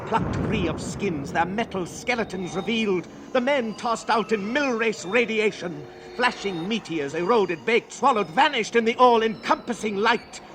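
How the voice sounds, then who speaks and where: An actor playing an awed, haunted young spacefarer, narrating poetically and solemnly in a 1960s radio drama. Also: male voice, high-pitched. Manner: theatrical, solemn, incantatory. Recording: noisy 1960s radio recording.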